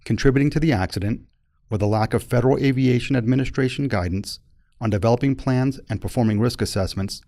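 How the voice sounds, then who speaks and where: A man narrating a formal accident report from a script in a measured, impersonal, factual manner, recorded close as a voice-over. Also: medium pitch, warm tone, no room sound.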